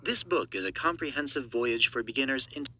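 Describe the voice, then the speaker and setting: Lively, very bright male narrator. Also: medium pitch, brisk, breathy edge. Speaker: audiobook narrator auditioning. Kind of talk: scripted promotional narration.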